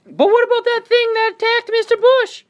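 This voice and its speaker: A woman's voice: very high voice, level tone, brisk. A woman playing an anxious, complaining wife in an amateur student radio play. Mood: worried, defiant. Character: Katie, complaining, anxious wife who is a nurse.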